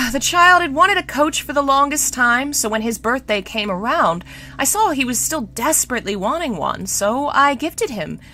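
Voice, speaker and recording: A doting queen as a woman narrator plays her in a storybook reading. Her voice is raised and lilting, with swooping, refined phrases and a gentle, sighing manner. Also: fairly high pitch, very animated, normal speed, a little hiss or hum, voice pushed up. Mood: wistful.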